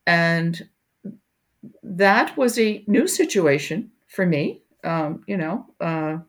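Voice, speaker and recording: Older woman, interview guest, low voice, thoughtful and halting with lots of ums. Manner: reflective, many fillers and restarts. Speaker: guest, education researcher. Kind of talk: conversational interview.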